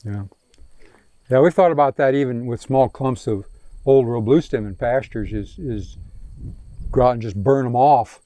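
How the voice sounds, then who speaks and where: A mid-pitched man with a mellow, relaxed tone answers questions from a crowd standing in a prairie pasture. He hedges and restarts often, speaking into a handheld microphone that keeps his voice close and dry. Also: normal speed, pauses often, dry recording. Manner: hesitant, many restarts and fillers. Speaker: range scientist answering questions. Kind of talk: outdoor field day Q&A. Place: open prairie pasture outdoors.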